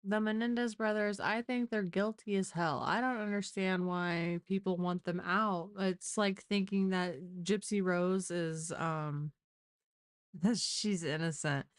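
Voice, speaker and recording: A woman's voice: mid-range voice, steady tone, measured pace, no echo, studio quality. A woman hosting a live stream, chatting informally and giving opinionated true crime commentary.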